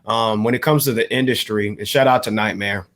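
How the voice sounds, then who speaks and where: An American man co-hosting a podcast, discussing things casually in a measured, agreeable manner. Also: lowish voice, fairly even intonation, fairly bright tone. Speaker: podcast co-host.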